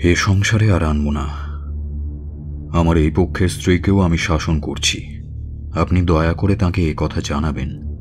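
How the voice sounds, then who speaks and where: A man's voice: low voice, steady tone, unhurried, long pauses. An actor playing a worried landowner and father in an audio drama, speaking solemnly and earnestly with remorse. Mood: grave, remorseful. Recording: faint music behind the voice.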